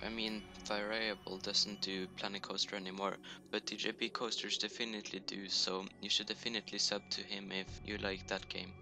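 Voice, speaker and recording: A man's voice: lowish voice, vocal fry, pushed and bright. A man with a Norwegian accent, speaking English as a second language, giving a casual voice-over for a screen recording of his video game build, with joking self-deprecating asides over poor home-mic audio. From Norway. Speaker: YouTuber. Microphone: home computer mic, poor audio.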